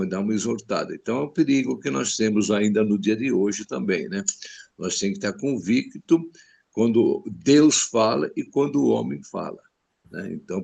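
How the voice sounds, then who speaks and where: Pastor guy on a video call, low gravelly voice, earnest. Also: a little mellow. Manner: earnest, stresses importance. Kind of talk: exhortation in group discussion.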